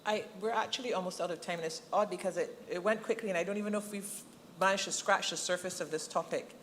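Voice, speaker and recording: A Barbadian woman moderating a webinar panel, posing questions in a clear, measured way. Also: on the low side, a bit bright, a little hiss or hum. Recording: echoey room, faint ambience.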